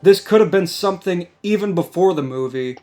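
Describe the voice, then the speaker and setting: A man in a casual discussion, explaining things in a measured way as a co-host. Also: high-pitched, lively intonation, a little mellow. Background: background music.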